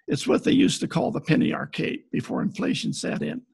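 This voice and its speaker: Casting: male actor as cynical private eye, high-set voice full of gravel, wry and tough, old radio play over a video call. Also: brisk, noisy background, full character voice. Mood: wry, world-weary. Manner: wry, deadpan, tough-talking. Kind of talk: 1940s detective radio acting, narration and dialogue. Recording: video call recording with faint music behind.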